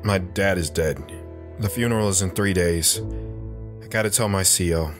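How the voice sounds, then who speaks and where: A man's voice: sits low, normal speed, over music, close to an everyday voice. A man playing a devoted, dutiful young military husband and father in an audio drama. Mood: grim, restrained.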